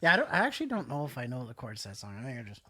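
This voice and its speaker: A man's voice: medium pitch, wildly swooping pitch, racing, heavy background noise. A man with a nasal voice hosting a casual music chat in an informal, jokey, slangy way. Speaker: podcast host discussing music albums.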